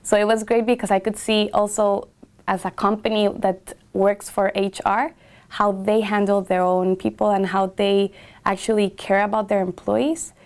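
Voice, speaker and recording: A woman's voice: mid-range voice, flat delivery, normal speed, darkish tone. A young woman from Guatemala, a graduate student intern, describing her internship in an interview with frequent hesitations and fillers. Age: young adult. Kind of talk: interview testimonial.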